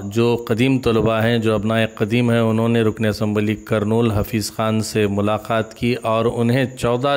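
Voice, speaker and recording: A man presenting scripted news in a formal announcer manner. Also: lowish voice. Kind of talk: scripted news narration for an online channel. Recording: noticeable background noise.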